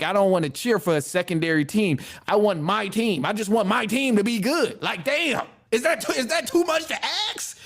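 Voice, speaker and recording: High-pitched man ranting as sports podcast host. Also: fairly bright tone. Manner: exasperated, rambling, repeats phrases for emphasis; informal slang, mild swearing. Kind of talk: casual sports rant to listeners.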